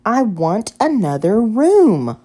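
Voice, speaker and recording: A woman's voice: mid-range voice, big pitch swings, takes it slowly, warm tone, pitched above the performer's own voice. A woman voicing a grumpy, sleepy male hotel guest who grumbles indignantly in a children's story. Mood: fuming. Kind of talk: children's picture book character voice.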